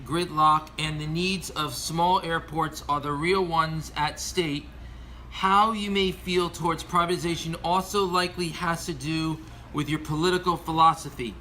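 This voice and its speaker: Male American commentator, sharp bright tone, formal scripted delivery with stumbles, faint background noise. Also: on the high side, steady tone, unhurried, poor audio. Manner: earnest, formal reading with stumbles over words. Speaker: aviation commentator. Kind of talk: scripted opinion commentary read aloud.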